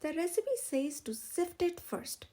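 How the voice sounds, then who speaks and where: A woman's voice: very high-pitched, big pitch swings, measured pace, warm tone, full character voice. A woman voicing a careful, patient turtle who reads out recipes in a storybook in an instructive way.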